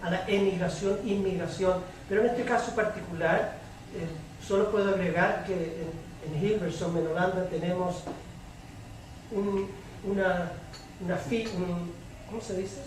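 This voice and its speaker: A woman's voice: lowish voice, steady tone, unhurried, darkish tone. A woman moderating a public debate, explaining things off the cuff and sometimes searching for words. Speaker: debate moderator from a radio organization. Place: indoor public debate, roomy, picked up from afar. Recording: echoey room, distant mic, faint noise.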